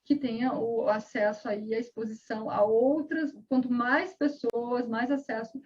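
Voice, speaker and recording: A woman's voice: fairly high pitch, fairly even intonation, measured pace, a little mellow, dull audio. A woman guest on a video call interview, speaking earnestly about raising a bilingual child, with many fillers and restarts.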